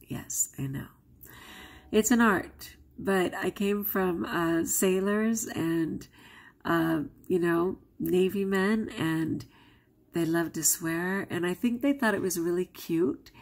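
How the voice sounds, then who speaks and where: Woman vlogger, non-native English speaker, chatty and candid, gravelly fry in the voice. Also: lowish voice, slow pace. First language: not English. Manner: candid and chatty, with frequent laughs. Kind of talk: casual vlog talk to camera.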